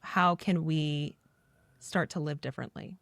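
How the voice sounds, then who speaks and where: A woman's voice: low voice, unhurried, fairly bright tone, no echo. A woman hosting a podcast, speaking earnestly and reflectively and asking guiding questions. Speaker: podcast host.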